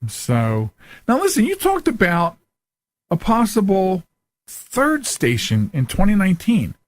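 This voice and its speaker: Higher-voiced man hosting a casual interview. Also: wildly swooping pitch, measured pace, no room sound. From United States, Philadelphia area. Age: 40s. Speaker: show host.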